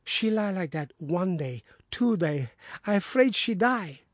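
A frightened young maid from abroad, her voice pitched high and pinched with worry, speaking in broken English and short clipped phrases, as a man puts her on. She sounds indignant and pleading. Husky and close to the ear. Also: lively intonation, moderate pace, fairly bright tone, no echo.

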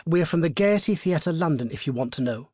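A man voicing a bold, sharp-tongued young actress in an audiobook, speaking briskly and cheekily. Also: a little high, animated, breathy edge, no room sound, clean studio sound, pitched above the performer's own voice. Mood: defiant. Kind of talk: audiobook character voice.

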